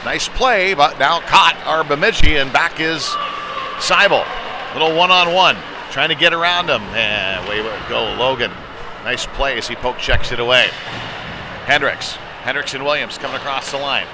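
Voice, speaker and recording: A man calls a hockey game live as the play unfolds. He speaks in short, clipped fragments at a medium pitch. Clear venue noise runs behind his voice. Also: moderate pace. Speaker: play-by-play commentator. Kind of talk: live youth hockey commentary. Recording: noisy rink, clear background noise.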